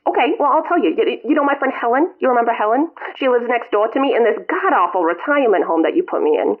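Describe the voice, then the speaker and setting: A woman's voice: fairly high pitch, lively intonation, quick pace. A woman voicing a melodramatic, guilt-tripping elderly mother calling her son from a retirement home, over a phone line. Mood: nagging. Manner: melodramatic, whiny, self-pitying.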